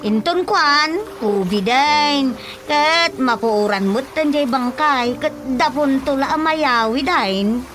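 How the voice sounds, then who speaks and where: An actress playing an excitable, gossipy neighbour woman in an Ilocano radio drama, full of exclamations. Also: high-pitched, slow. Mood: agitated. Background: prominent background music.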